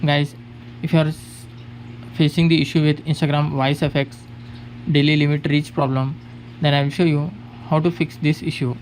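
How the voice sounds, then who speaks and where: A man presents a phone how-to tutorial in voice-over. His voice sits a little higher than usual for a man. He gives simple step-by-step directions to his viewers, and background noise hums under the recording. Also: little pitch movement, normal speed. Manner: plain step-by-step instruction, addressing viewers as guys. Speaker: tech tutorial host. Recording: clearly noisy background hum.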